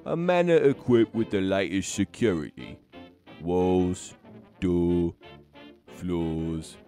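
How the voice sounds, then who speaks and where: A man's voice: lowish voice, dark tone. A man playing a weary, defeated constable in an animal audio drama, talking in a gloomy mumble. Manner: gloomy and mumbling, a little tipsy. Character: weary, defeated older male terrier constable.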